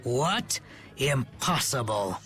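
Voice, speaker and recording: An actor playing a pompous, scheming older villain in a video game, declaiming with theatrical indignation. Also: male voice, mid-range voice, sing-song, slow pace, fairly bright tone, soft background music. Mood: shocked, indignant.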